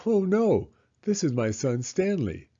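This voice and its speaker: A man's voice: high voice, sing-song, a little slow, mellow, lo-fi sound, voice pushed up, exaggerated voice. A man voicing a proud, polite father in a children's storybook, speaking in an earnest, emphatic way.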